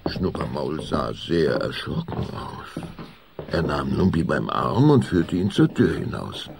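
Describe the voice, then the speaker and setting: A man narrating a spooky children's radio play in a deliberate, expressive storytelling manner. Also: rumbling low, vocal fry, noisy background, exaggerated voice. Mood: measured, suspenseful.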